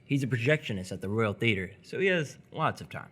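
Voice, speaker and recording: A man playing an awkward, polite seventeen-year-old boy in live radio theatre, speaking in a halting, casual, dryly humorous way. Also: mid-range voice, animated, a bit bright. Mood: awkward, low-key. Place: live stage performance before an audience.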